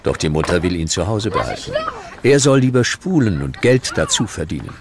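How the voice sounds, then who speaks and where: A man narrating a scripted documentary with dramatic, deliberate storytelling. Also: sits low, very animated, noisy background.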